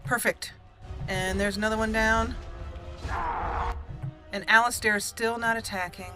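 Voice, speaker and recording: A woman's voice: on the high side, steady tone, a little slow, over loud music. A woman hosting a game playthrough, fretfully talking through each move as she plays. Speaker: let's play host. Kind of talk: gameplay commentary.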